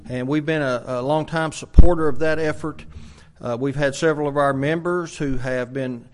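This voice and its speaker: A man, a church minister introducing a guest speaker in a halting way, with many fillers. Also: a little high, steady tone, darkish tone. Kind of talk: church announcement.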